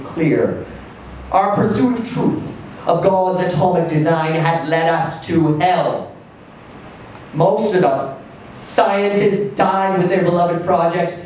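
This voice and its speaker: Guy reading a short story out loud all dramatic, bit far from the mic, faint noise, pauses for effect. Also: sits high. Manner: theatrical, emphatic, with deliberate pauses and swells. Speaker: story reader. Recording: distant echoey room with faint noise.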